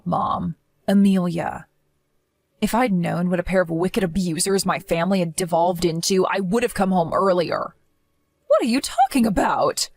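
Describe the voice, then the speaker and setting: A woman's voice: lowish voice, dry recording, natural voice. A woman voicing a protective young sister-in-law who speaks in an earnest, reassuring manner. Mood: stern.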